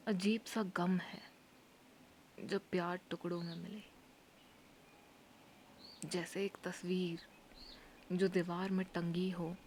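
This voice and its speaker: A woman performs a poetic spoken-word monologue in an intimate, hushed, often breathy voice, leaving long pauses between phrases. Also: mid-range voice, a little hiss or hum.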